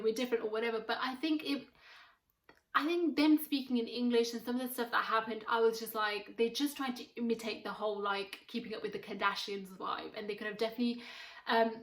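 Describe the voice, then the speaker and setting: A young woman whose first language is Urdu talks in a loose, rambling vlog manner, full of fillers and restarts. Her voice sits a little high, and the room around her has a noticeable echo. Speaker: video blogger reviewing a series. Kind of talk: casual review vlog. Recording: echoey room.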